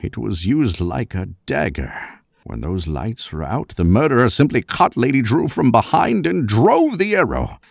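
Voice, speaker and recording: An actor playing a shrewd older professor, speaking with pompous, deliberate emphasis in 1940s radio mystery style. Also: male voice, medium pitch, sing-song, gravelly, no room sound, studio quality. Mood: dramatic, accusing. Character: shrewd, theatrical older professor, father unmasking a killer.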